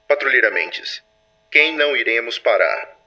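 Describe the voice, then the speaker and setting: A man playing a stern, controlled patrol commander, measured and authoritative, in an audio drama. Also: medium pitch, animated, bright, forceful tone, dull audio, a little hiss or hum. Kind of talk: audio drama acting, dossier narration.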